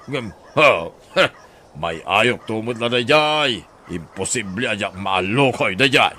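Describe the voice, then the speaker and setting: An actor with an Ilocano accent playing a scheming, greedy middle-aged husband in a radio drama. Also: male voice, lowish voice, animated, slow, pushed and bright, slight background noise, heavily acted. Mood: sly, calculating. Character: scheming greedy husband eyeing a poor neighbour's land.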